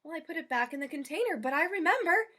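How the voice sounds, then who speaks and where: A woman's voice: very high voice, animated, fast talker, pushed and bright, voice pushed up, exaggerated voice. A woman voicing a cheerful, literal-minded storybook housemaid who is earnest and eager to please. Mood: proudly helpful. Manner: earnest, guileless, chirpy. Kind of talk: children's storybook character voice.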